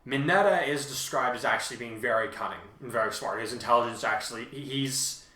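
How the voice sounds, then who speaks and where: Halting, chatty man in an echoey room. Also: medium pitch, bright, forceful tone, distant mic. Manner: halting, rambling, repeats himself. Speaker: podcast host and fan fiction author. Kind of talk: casual chat about own writing. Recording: roomy, echoing home recording.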